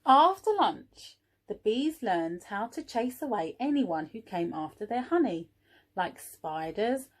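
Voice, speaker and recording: A woman reading a picture book aloud to young children, expressively, pausing for little asides to the listeners. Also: medium pitch, lively intonation. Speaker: early years teaching assistant reading a storybook.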